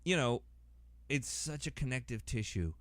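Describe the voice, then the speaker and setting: A man hosting a podcast, talking casually in a rambling way and getting animated as he acts out imagined quotes. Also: medium pitch, moderate pace. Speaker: podcast host.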